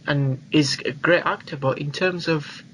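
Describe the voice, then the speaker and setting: Higher-voiced man, noisy recording. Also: measured pace, a bit bright. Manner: informal, uses slang. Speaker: podcast co-host. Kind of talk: casual film chat.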